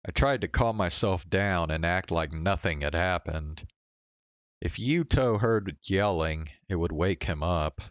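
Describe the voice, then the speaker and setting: A man's voice: low-pitched, a little slow, clean tone, dry recording, muffled recording. A man reading visual novel narration aloud in a dramatic first-person storytelling style. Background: faint game music.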